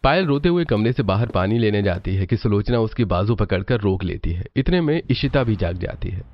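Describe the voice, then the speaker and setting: A man narrating a scripted family tale as a storyteller, with expressive delivery. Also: fairly low pitch, brisk, highs cut off, faint music behind.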